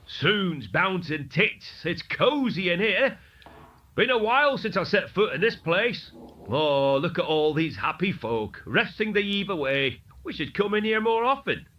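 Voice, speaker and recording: A man's voice: high voice, sing-song, unhurried, slight background noise, pitched above the performer's own voice. A man voicing a jovial, rowdy male thug who talks in a hearty, boisterous way. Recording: dull, distant lo-fi recording.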